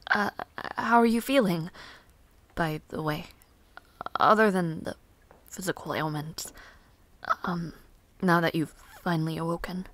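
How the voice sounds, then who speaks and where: Woman acting a gentle, slow-spoken young noblewoman. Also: on the low side, no room sound. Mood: gentle concern. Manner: soft-spoken, hesitant, halting, politely formal. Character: gentle young noblewoman, caring, flustered fantasy rescuer. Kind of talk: fantasy audio roleplay drama, spoken intimately to listener.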